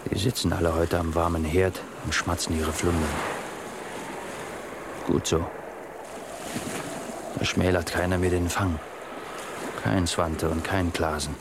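A very deep-voiced fisherman in a radio drama. He mutters to himself slowly and gruffly in short bursts, a loner at his work. Loud sea and wind surround him. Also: little pitch movement. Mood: grumbling. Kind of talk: radio play monologue acting.

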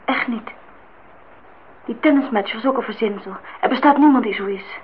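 A woman's voice: a little high, moderate pace, natural voice. An actress playing a naive, lovestruck young woman in a radio drama, speaking in short, plain, plaintive replies. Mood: regretful. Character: naive, lovestruck nineteen-year-old young woman, quietly stubborn. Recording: thin phone-like sound, noisy old radio recording.

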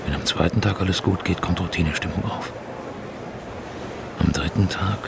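A man's voice: deep voice, moderate pace, gravelly, very noisy. An actor playing a terse, thoughtful male investigator in a crime radio play. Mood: pondering. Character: Troller, wary male investigator, middle-aged. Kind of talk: radio drama acting.

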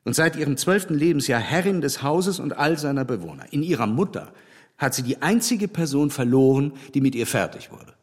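Frank, husky gentleman, animated delivery. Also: a little high, fairly quick. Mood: frank, measured. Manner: opinionated, wry, formal. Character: frank, critical English gentleman, dryly teasing. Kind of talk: literary radio play dialogue.

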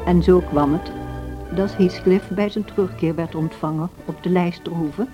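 A woman playing a sensible, motherly housekeeper who recounts events in an old radio play, measured and gently admonishing. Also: on the low side, fairly even intonation, lo-fi sound, over music, close to an everyday voice. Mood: recounting.

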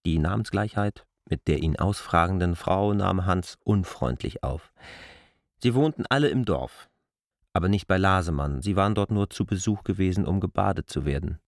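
Male literary narrator for radio drama, lively intonation, slightly low, dry acoustic. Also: moderate pace, no echo.

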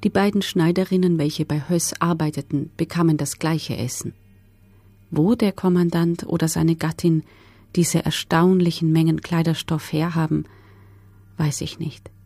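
Female prisoner witness, slightly low voice, factual statement, radio drama, very dry studio sound. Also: fairly even intonation, moderate pace, dark tone, dry recording. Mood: sober, factual. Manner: sober, factual. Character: privileged female camp prisoner soberly giving testimony.

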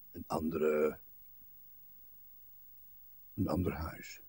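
An actor playing an ailing, blind old husband who grumbles at length in a morbid, crotchety way, in a literary radio play. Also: male voice, deep voice, a little mellow, breathy edge, no room sound, full character voice. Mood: gloomy musing. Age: older. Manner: morbid, querulous, long-winded grumbling with musing asides. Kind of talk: literary radio drama acting.

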